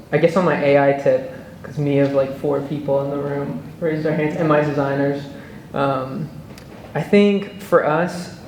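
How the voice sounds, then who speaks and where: A man's voice: on the high side, a little mellow, slight background noise. A young man on a conference panel, talking casually with lots of "like" and "um". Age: young adult. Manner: casual, filler-heavy, "like" and "um". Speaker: panelist, youth design agency founder. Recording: echoey conference room.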